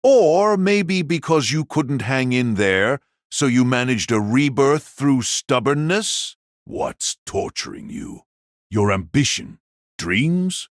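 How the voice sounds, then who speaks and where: A man playing a cool, mocking warrior in an audio drama, speaking in a measured, condescending way. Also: mid-range voice, no room sound, studio quality. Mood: taunting. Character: cool, mocking warrior taunting a fallen foe.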